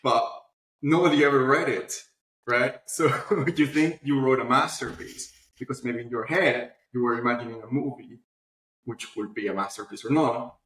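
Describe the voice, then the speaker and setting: Male interview guest, mid-pitched, animated conversational storyteller, roomy echoey recording. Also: moderate pace. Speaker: interview guest, publishing platform founder. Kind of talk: casual conversational interview answers.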